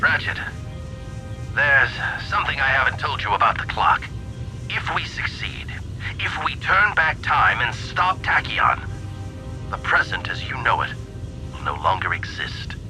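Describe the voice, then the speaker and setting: An actor playing a serious alien general and mentor, earnest and deliberate, in a video game cutscene. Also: male voice, mid-range voice, very animated, music in the background, low-quality recording. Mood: grave, hesitant.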